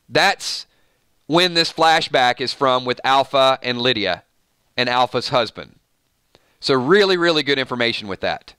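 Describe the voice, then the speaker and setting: Man, Georgia accent, bright voice, smooth and crisp, dry close sound, casual rambling host chat. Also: medium pitch, no echo. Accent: Georgia (US South). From Georgia, USA. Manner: chatty, rambling, laughs often, reads viewer comments. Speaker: show host. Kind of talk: casual live-stream chat.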